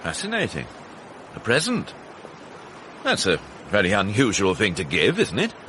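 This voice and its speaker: An actor playing a wry, courteous British police inspector with probing questions in a radio mystery drama. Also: male voice, on the low side, wildly swooping pitch, normal speed, background noise. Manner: courteous, dryly ironic, probing.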